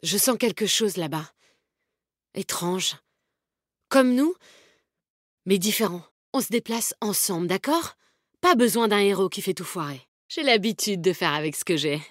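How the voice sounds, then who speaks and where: A woman's voice: medium pitch, animated, a little slow, pauses often, no echo, studio quality. An actress playing a tough, confident, protective woman fighter in a video game, with a bold, cheeky, commanding manner. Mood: determined. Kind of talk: video game character voice lines, combat callouts.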